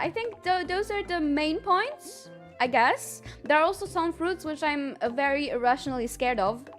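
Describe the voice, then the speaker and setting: A young woman with a slightly high voice chats casually on a live stream, laughing often. She talks earnestly and at length, and faint music plays behind her. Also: fairly bright tone. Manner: chatty, laughs often, earnest about rankings.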